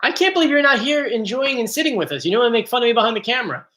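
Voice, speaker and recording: A man's voice: very high voice, animated, very fast, pushed and bright. A man hosting a casual live stream from home, chatty and joking, easily drifting off topic. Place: home, bedroom area. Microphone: computer mic.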